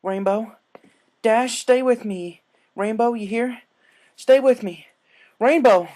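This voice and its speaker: A man voicing a brave, firm young female cartoon pony, a loyal rescuer, forceful and urgent in a story reading. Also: extremely high pitch, slow, pitched above the performer's own voice. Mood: desperate, panicked. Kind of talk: fan fiction story reading.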